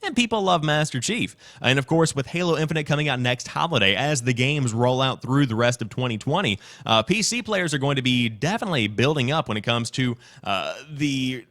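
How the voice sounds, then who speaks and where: Guy doing video game news, reads the story then riffs on it with his own take, medium pitched voice. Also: lively intonation, brisk, hardly any pauses, fairly bright tone. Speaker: host of a gaming news show. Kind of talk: news commentary, part read and part ad-libbed.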